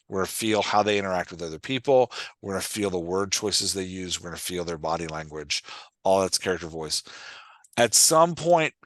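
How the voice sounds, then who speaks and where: Man, fairly deep voice, conversational teaching, explanatory, dry sound. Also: fairly bright tone, no echo. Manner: explanatory, often checking for understanding. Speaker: podcast host and writing coach. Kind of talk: conversational coaching.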